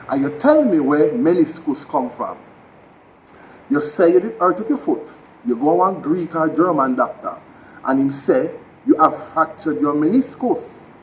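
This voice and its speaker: A man's voice: on the high side, a little hiss or hum, rough recording. A man with a Jamaican accent, an actor giving a heartfelt spoken tribute addressed directly to a departed mentor.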